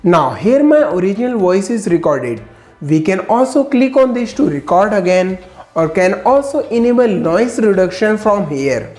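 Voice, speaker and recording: A woman narrating a scripted software tutorial, giving steady step-by-step instructions with enthusiastic asides. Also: medium pitch, normal speed, reverberant, faint music behind.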